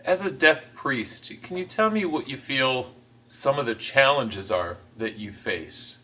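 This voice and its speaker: A man voicing a signed interview as interpreter, phrasing things in a measured, careful way with the occasional restart. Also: mid-range voice, expressive, bright, forceful tone, recorded from a distance. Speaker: sign language voice interpreter.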